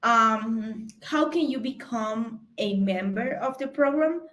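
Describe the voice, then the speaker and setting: A woman's voice: a little high, slow, fairly bright tone. A young adult woman from Colombia with a Colombian accent, Spanish her first language, giving an informal tech talk at a conference with many fillers and restarts. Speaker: conference speaker.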